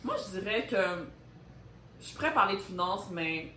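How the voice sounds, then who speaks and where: A woman's voice: mid-range voice, sing-song, moderate pace, sharp tone, recorded from a distance. A woman in her thirties with a Québécois accent, co-hosting a casual talk and speaking reflectively, with hesitations and English mixed in. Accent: Québécois French. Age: 30s. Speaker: co-host. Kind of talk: casual chat. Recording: echoey room with faint noise.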